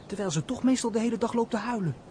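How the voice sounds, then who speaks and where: Naive, high-voiced young steward's son. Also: male voice, very fast, warm tone, a little hiss or hum. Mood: musing, chatty. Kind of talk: radio play acting.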